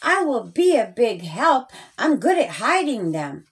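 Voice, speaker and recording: A woman's voice: on the high side, big pitch swings, measured pace. A woman voicing a friendly, eager young boy in a children's storybook, earnest and sing-song. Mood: eager, hopeful.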